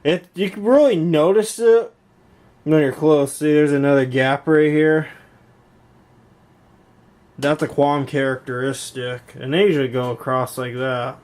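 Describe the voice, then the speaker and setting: A man's voice: fairly high pitch, fairly even intonation, measured pace, long pauses, darkish tone. A man giving casual, rambling hands-on narration of a hobby video from behind a handheld camera in a room at home, often repeating himself. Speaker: YouTube hobbyist demonstrating intercom gear. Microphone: handheld camera, speaker behind it.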